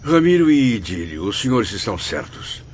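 A man's voice: lowish voice, sing-song, normal speed, darkish tone, a little hiss or hum. An actor playing a sincere, emotional spirit of a Portuguese man in a radio drama, with formal, theatrical diction. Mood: earnest. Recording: dull radio recording with music behind.

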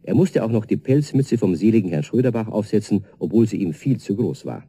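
A man's voice: on the low side, animated, brisk, warm tone, husky. A man narrating a scripted children's story as a storyteller, addressing his young listeners directly.

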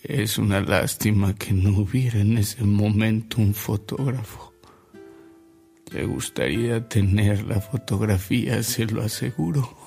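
A man's voice: on the low side, steady tone, gravelly, a little mellow, faint music behind. An actor with a Mexican accent playing a fond, amused storyteller who savors his memories in a radio drama. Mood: amused, nostalgic. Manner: unhurried, savoring anecdotes, chuckling delivery. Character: Don Alberto, fond, amused old storyteller reminiscing about a bishop.

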